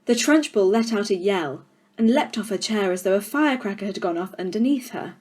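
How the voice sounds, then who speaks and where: A woman storyteller giving an expressive children's audiobook narration with deliberate, dramatic phrasing. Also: mid-range voice, husky.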